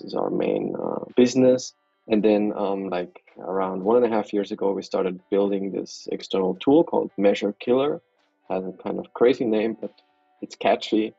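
Deep husky man from Austria on a call. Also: dry recording, lo-fi sound. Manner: relaxed, hesitant, many fillers. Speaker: guest, software developer. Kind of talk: casual video call interview. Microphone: video call.

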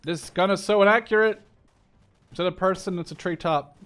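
A man in his twenties with a fairly high-pitched voice talks over a video game. He rambles casually as the host of a let's play, circling back over his own phrases and swearing offhandedly. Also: moderate pace, a bit bright, smooth. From Netherlands. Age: 20s.

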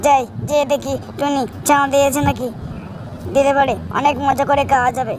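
A woman's voice: sits high, level tone, brisk, noisy background, lightly acted. A woman voicing a hungry, scheming fox in a children's cartoon, muttering plans to itself and exclaiming. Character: hungry scheming fox hunting for bird eggs. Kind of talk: children's cartoon story dialogue.

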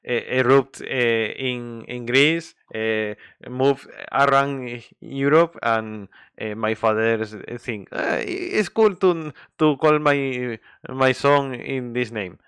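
Slow, halting man speaking English. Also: mid-range voice, fairly bright tone, smooth, dry recording, studio quality. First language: Spanish. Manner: halting, frequent restarts and fillers; laughs often. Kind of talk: casual group chat in English.

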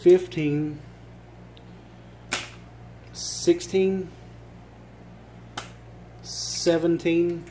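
Man, Virginia accent, slightly high voice, slow and unhurried, hoarse from losing his voice, throat clearing, casual vlog, faint room hiss. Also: fairly even intonation, dark tone. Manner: chatty, enthusiastic, frequent throat clearing. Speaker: thrift reseller vlogger. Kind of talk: casual show-and-tell vlog. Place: indoor room with items on a table. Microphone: phone camera held while filming.

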